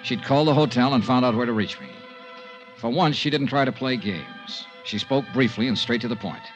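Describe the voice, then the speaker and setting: An actor playing a tough, wry insurance investigator in a 1950s American radio drama, clipped and matter-of-fact. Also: male voice, mid-range voice, fairly quick, dull audio, soft background music, natural voice. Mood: terse narration. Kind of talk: 1950s radio detective acting. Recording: vintage 1950s radio broadcast.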